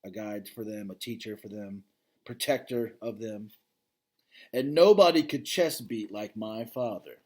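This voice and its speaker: A man, a father, reading a children's novel aloud and pausing to chat with his listeners about the story. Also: fairly low pitch. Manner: expressive reading, breaks off to comment directly to listeners.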